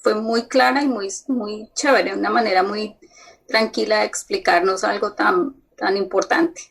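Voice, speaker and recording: A woman thanking a speaker on a video call, halting with many fillers and restarts. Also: medium pitch, steady tone, vocal fry, slight background noise, rough recording.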